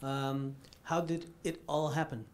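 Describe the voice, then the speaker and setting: A man's voice: a little high, little pitch movement, measured pace. A man interviewing a guest, asking questions with hesitation and many fillers.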